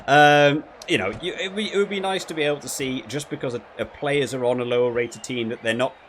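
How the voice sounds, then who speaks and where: A man giving casual, critical gameplay commentary, thinking aloud in a halting way and repeating words as he goes. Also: medium pitch, a bit bright, a little hiss or hum. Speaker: gaming video creator reviewing while playing.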